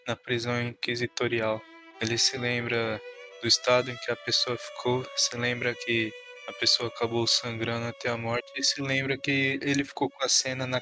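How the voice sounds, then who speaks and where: A man narrates his character's story as a tabletop game player. His mid-pitched voice stays very even through the dramatic telling, with music clearly behind him.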